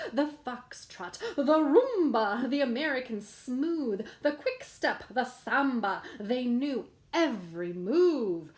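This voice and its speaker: A woman reading a rhyming children's picture book aloud in a playful, sing-song storytelling manner. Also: high voice.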